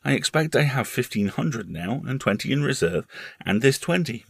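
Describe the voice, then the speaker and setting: A man's voice: medium pitch, expressive, normal speed, vocal fry, slightly rough, dry recording, studio quality, pitched above the performer's own voice. A man voicing a grave, secretive young boy with a polite, earnest manner in a storybook reading. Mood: serious, secretive. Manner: solemn, earnest, careful.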